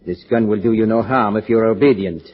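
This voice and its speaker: A man playing a coldly polite villain professor in 1940s radio thriller style, measured, smug and formal. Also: lowish voice, soft background music, natural voice. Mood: quietly threatening. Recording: muffled old radio broadcast.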